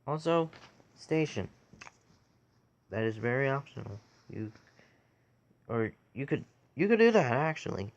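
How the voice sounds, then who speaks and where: Slow-talking man giving a tutorial. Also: medium pitch, big pitch swings, dull audio. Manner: halting, pausing between short phrases. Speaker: tutorial video creator. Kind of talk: casual screen-recorded how-to tutorial.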